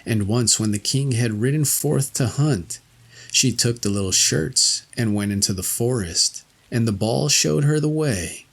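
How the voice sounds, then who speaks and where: A man narrating a fairy tale from a script, with a gravelly, husky voice. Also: medium pitch, measured pace. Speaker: storyteller, narrator.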